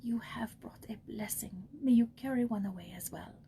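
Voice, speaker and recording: A woman voicing a gentle, kindly nun in a storybook, tender and breathy. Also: a little high, moderate pace, a little hiss or hum. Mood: tender blessing. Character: gentle, kindly nun, devout and soft-spoken. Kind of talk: storybook character voice.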